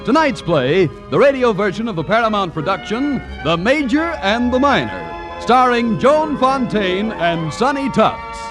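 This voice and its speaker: A man's voice: high-pitched, big pitch swings, a little slow, over music. A man announcing a scripted 1940s radio theater show. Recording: vintage radio broadcast.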